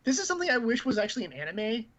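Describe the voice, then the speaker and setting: A man's voice: very high-pitched, big pitch swings, very fast, fairly bright tone. A man co-hosting a gaming livestream, chatting casually in a rambling, opinionated way. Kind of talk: casual chat and commentary on games news. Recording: muffled, lo-fi stream audio.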